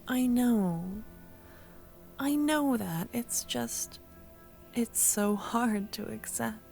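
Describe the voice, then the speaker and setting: A woman acting as a sweet, wistful young woman speaks in a soft, breathy, near-whispered voice on the high side. She takes her time, tender and a little hesitant, like a devoted friend in an ASMR roleplay. Gentle music plays behind her. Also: lively intonation, extremely slow, full character voice. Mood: sad, wistful.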